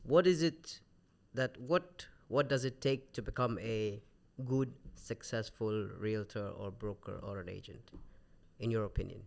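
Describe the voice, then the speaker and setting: A man's voice: on the low side, unhurried, creaky. A man hosting a podcast, welcoming his guest and asking questions in a friendly, encouraging way. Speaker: podcast host. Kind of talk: casual interview questions. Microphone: studio podcast mic.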